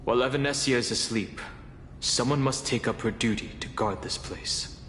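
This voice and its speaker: A man's voice: medium pitch, normal speed, fairly bright tone, a little hiss or hum, natural voice. An actor playing a grave, thoughtful male counselor in a video game, speaking solemnly and formally. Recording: echoey hall reverb with faint music.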